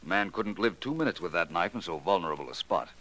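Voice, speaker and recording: An actor playing a suave, shrewd private detective in a 1940s radio drama, confident, unflappable and formal in manner. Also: male voice, low-pitched, expressive, fast, fairly bright tone, low-quality recording. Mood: probing, confident.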